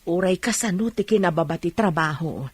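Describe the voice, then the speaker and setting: Low-voiced devoted village mother, played by a woman. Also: brisk, husky, no echo. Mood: tender, encouraging. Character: devoted, proud mother of a poor village family. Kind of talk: Ilocano radio drama acting. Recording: music under the voice.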